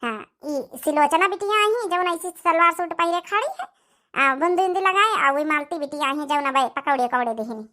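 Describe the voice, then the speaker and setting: Woman doing scheming village mom w awadhi accent, chatty n insistent cartoon comedy, high bright voice. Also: lively intonation, moderate pace. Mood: persuasive. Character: scheming village mother pushing her favoured daughter. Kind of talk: rustic cartoon comedy acting.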